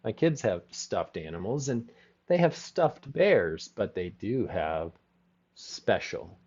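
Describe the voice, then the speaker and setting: A man teaching English from his home over a video call, his lowish voice coming through slightly muffled, lo-fi audio. He is encouraging, laughs often and slows down to explain each word. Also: very animated, a little mellow, slightly rough. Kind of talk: casual conversational lesson.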